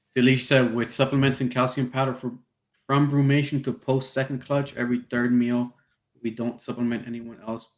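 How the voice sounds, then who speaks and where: A man from South Florida hosting a podcast, speaking in a relaxed, hesitant way with frequent "um" and "you know". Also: medium pitch, a little fast. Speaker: podcast host. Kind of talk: casual podcast interview. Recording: echoey room.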